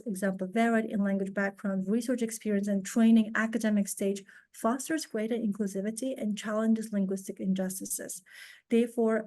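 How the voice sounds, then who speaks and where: A young woman from a post-Soviet region delivers a read academic presentation in English, her second language. Her voice is mellow and warm, and her delivery is formal and measured. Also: mid-range voice. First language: not English; also speaks Russian. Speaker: PhD student presenter.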